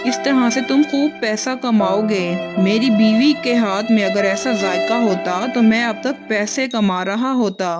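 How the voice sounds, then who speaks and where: A woman's voice: fairly high pitch, measured pace, hardly any pauses, pitched below the performer's own voice, lightly acted. A woman voicing a boastful, jovial male crow in a children's cartoon story, hearty and effusive, with music behind. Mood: delighted, boastful. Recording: loud background music.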